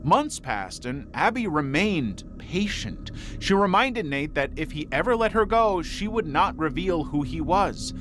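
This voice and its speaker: A man's voice: on the high side, sing-song, measured pace, few pauses. A man reading scripted documentary narration in a dramatic storytelling manner. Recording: voice over prominent background music. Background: music.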